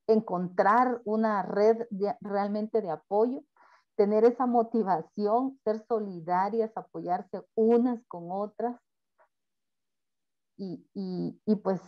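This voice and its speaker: A woman speaks earnestly over a video call, pausing often on fillers as she presents to a webinar. Her voice sits at an ordinary middle pitch. The audio is dull and lo-fi. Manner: earnest, halting with frequent fillers. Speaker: panelist.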